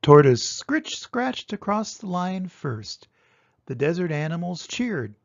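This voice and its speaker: An older man reading a children's story aloud with expressive, dramatic emphasis. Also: sits high, slow pace, dry recording, highs cut off. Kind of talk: animated children's storybook narration.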